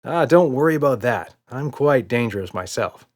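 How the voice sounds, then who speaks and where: A man playing a calm, confident storybook father, reassuring and playfully boastful. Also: mid-range voice, normal speed, close to an everyday voice. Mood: playful confidence.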